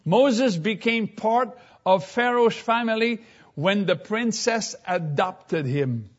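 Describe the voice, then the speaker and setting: A man preaching a sermon, teaching slowly and deliberately and reading scripture aloud. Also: high voice, lively intonation, lo-fi sound.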